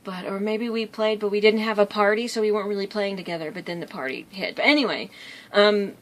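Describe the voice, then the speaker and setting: A woman's voice: medium pitch, quick pace, a bit bright. A woman vlogger telling stories in a casual, rambling way, easily drifting off track. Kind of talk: casual storytelling vlog.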